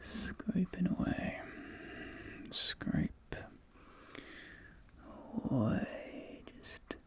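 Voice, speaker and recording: An ASMR performer role-playing a dentist, whispering softly in a breathy, crackly voice and slowly repeating short, soothing, reassuring phrases. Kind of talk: ASMR role play, soft whispering with personal attention.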